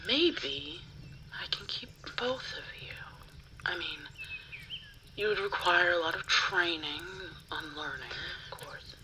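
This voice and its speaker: A woman playing a cold, obsessive yandere menacing a rival in an ASMR roleplay, hushed, deliberate and contemptuous. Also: fairly low pitch, animated, noisy background. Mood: amused, menacing.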